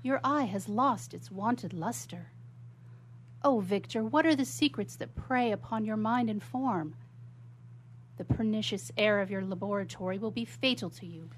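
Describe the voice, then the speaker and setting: An actress playing a tender, worried young sister in a radio melodrama, speaking formally and solicitously. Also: female voice, medium pitch, moderate pace, a little hiss or hum, natural voice, poor audio. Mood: concerned affection. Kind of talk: melodramatic 19th-century stage acting in radio theatre.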